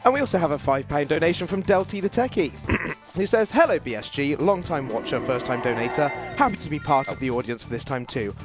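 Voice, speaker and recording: A man hosts a live gaming marathon, reading donor messages aloud. His voice sits a little high and swings animatedly, with music clearly playing underneath. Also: animated, fairly quick. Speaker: event host.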